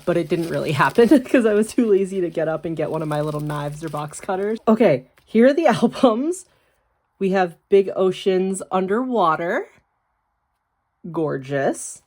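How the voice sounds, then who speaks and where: A young woman, hoarse from being sick, talking bluntly in a casual vlog, swearing freely and laughing often. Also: fairly low pitch. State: hoarse from illness. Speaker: YouTuber. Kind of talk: casual unboxing vlog with urgent appeal.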